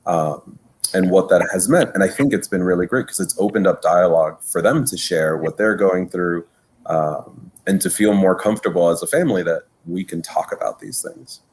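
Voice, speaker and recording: Man from Miami, very deep voice, thoughtful panelist. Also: moderate pace, darkish tone, breathy edge. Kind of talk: casual panel discussion.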